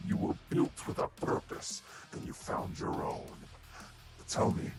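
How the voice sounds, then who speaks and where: A man voicing a taunting monster villain for a game character reel, with a breathy, whispery rasp and a mocking, theatrical delivery. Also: lightly acted. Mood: condescending. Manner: slow, deliberate, mocking, theatrical. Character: sinister taunting male monster villain, menacing, patient and mocking. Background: prominent music.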